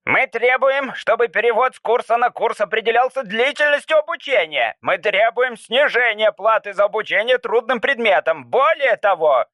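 A man voicing a rough, nasal-voiced male student leader making loud demands. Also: animated, moderate pace, hardly any pauses, no echo, clean studio sound. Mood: demanding. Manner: shrill, demanding.

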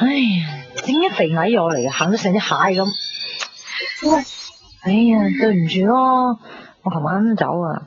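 An actress with a husky voice and a Hong Kong Cantonese accent playing a chatty, blunt young woman confiding in a friend in a radio drama. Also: medium pitch, lively intonation, measured pace, pauses often, soft background music, natural voice. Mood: grumbling. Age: young adult. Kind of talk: casual radio drama dialogue. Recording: dull 1990s radio recording.

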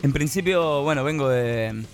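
A man in his late twenties with an Argentine accent, chatting casually and haltingly as an interviewed band singer, with a self-effacing manner. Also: mid-range voice, faint music behind. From San Andrés de Giles, Argentina. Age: late 20s. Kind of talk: casual chat.

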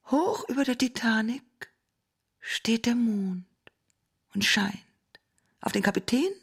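A woman narrating a fairy tale in an experimental radio play, theatrical and deliberate, sometimes dropping to a hushed near-whisper. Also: on the high side, expressive, creaky, full character voice. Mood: hushed, grave. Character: storyteller of a dark, dreamlike fairy tale. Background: music.

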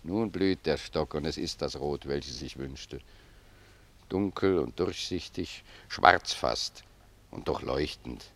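A man's voice: rumbling low, fairly bright tone, exaggerated voice. A man playing a humble, pious old gardener in a German radio drama, speaking reflectively and with deference to his superior. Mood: gentle, humble. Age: older. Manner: reflective, deferential, unhurried phrasing.

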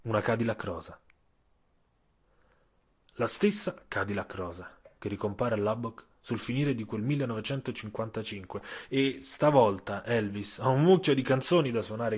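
A man narrating a scripted audio story in a theatrical way, with emphatic phrases, deliberate pauses and incantatory repetition. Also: mid-range voice, a little mellow, slightly rough. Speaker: author and narrator.

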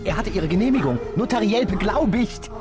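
Blunt detective, radio play, lively high-ish voice. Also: male voice, over music. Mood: incredulous. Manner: blunt and scornful, quick to anger. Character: stubborn, irritable male police detective. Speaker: police detective. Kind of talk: German radio play acting.

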